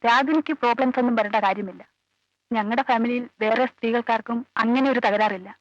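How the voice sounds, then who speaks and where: An actress playing a guarded, loyal aunt who lives with the family, answering carefully and matter-of-factly in a film drama. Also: female voice, a little high, fast, slight background noise, lightly acted. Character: guarded, loyal female relative living in the household. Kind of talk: Malayalam film dialogue. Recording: dull old film soundtrack, faint music.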